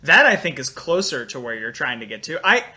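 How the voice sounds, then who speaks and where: A man's voice: mid-range voice, very animated, fairly quick, bright, forceful tone. A man chatting casually as a panelist in a podcast discussion.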